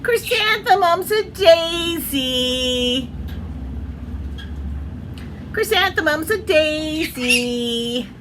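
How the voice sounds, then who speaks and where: Woman acting a snooty storybook schoolgirl, teasing, sing-song, high-pitched, swooping intonation. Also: expressive, extremely slow, pauses often, fairly bright tone, slight background noise, full character voice. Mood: mocking chant.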